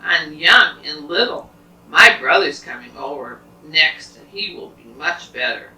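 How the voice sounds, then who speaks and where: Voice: mid-range voice, animated, measured pace, sharp tone. A storyteller voicing a small, young billy goat who pleads and bargains politely in a children's fairy tale. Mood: pleading. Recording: roomy, distant home recording, faint noise.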